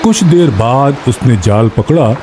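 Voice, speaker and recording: A man's voice: medium pitch, lively intonation, a little slow, noisy background. A man narrating a scripted moral story as a storyteller. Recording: music bed under the voice.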